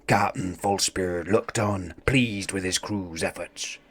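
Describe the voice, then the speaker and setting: A man reading a children's story aloud in a theatrical, deliberate way. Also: sits low. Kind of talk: dramatic children's story narration.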